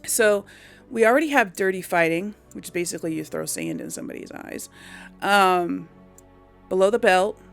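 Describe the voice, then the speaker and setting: A woman's voice: mid-range voice, moderate pace, gravelly, soft background music. A woman giving casual, unscripted video game commentary, thinking aloud and explaining things to viewers in a chatty way. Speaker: video game let's-play host.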